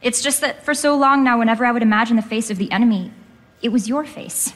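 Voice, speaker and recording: A woman's voice: a little high, fast, fairly bright tone, lightly acted. A woman playing a passionate, caring teenage girl in a live script reading. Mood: sad, sorry. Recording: echoey hall.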